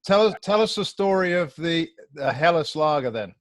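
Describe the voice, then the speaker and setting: Chatty British host on a video call. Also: male voice, a little high, lively intonation, no echo. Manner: chatty, jokey, halting with fillers. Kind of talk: casual video-call chat.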